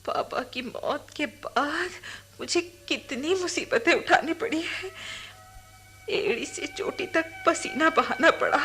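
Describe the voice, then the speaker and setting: An actress playing a bitter, grieving young village widow in a Hindi radio drama, with an impassioned, emphatic, tearful delivery. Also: female voice, extremely high pitch, full character voice.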